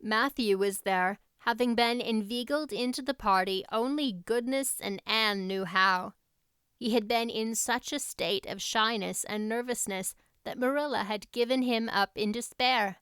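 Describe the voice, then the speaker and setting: A woman narrates a storybook in a smooth, clear, fairly high voice. The recording is dry and close, with the words read out as scripted audiobook narration. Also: unhurried, a bit bright, dry recording.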